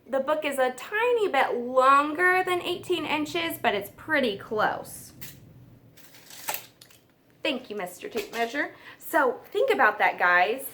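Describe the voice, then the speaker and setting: A woman teacher reading a nonfiction book aloud to children, stressing key words and pausing for simple questions and explanations. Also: high voice, pushed and bright.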